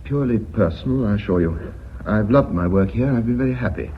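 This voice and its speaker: Male actor as reserved executive, radio drama, lowish pitch, courteous, guarded, muffled slightly noisy recording. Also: lively intonation, a little fast, close to an everyday voice. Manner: formal, guarded. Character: polite, reserved, reluctant company executive.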